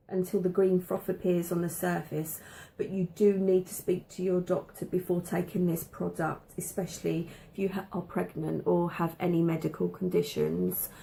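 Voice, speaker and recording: A woman chatting casually to camera at home, with a slight cough and a dry throat, pausing often with ums and repeating words for emphasis. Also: mid-range voice, vocal fry, mellow, slight background noise, low-quality recording. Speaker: vlogger showing a food haul.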